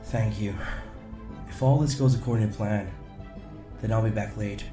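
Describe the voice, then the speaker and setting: An actor playing a firm, protective security officer in an audio drama, earnest and decisive. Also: male voice, fairly low pitch, fairly even intonation, normal speed, lightly acted. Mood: firm, caring. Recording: echoey room with music.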